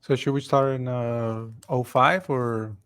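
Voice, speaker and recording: Relaxed male co-host from Ecuador, chatty and welcoming on an online call. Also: mid-range voice, slow, smooth. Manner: easygoing, greets attendees by name. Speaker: webinar co-host. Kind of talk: live webinar, casual chat.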